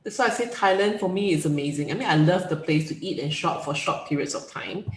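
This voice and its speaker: A woman hosting a relaxed interview, with a slightly low voice. She asks leading questions and encourages her guest. The room sounds roomy and echoey. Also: fast talker, a little hiss or hum. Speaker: interview host. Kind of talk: casual interview.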